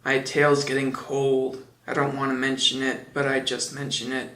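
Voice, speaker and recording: A man voicing a gloomy old storybook donkey who grumbles in a slow, downbeat way, in a roomy space. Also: mid-range voice, monotone, pushed and bright, lightly acted. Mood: gloomy, grumbling.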